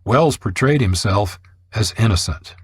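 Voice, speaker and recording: A man's voice: on the low side, animated, moderate pace, breathy edge, no echo. A man reading scripted narration as the host of a radio program, on a close studio mic.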